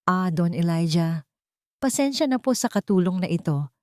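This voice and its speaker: A woman's voice: fairly low pitch, lively intonation, moderate pace, dry recording, clean studio sound, close to an everyday voice. A woman voicing a scheming middle-aged woman, falsely sweet and ingratiating, scolding servants in an audio drama story. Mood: fawning, nervous. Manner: insincere, ingratiating, sharp when ordering servants.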